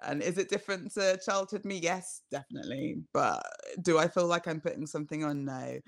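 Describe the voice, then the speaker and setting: A woman's voice: sits low, a little fast. A woman speaking reflectively in a casual podcast chat, laughing between her points. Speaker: podcast guest.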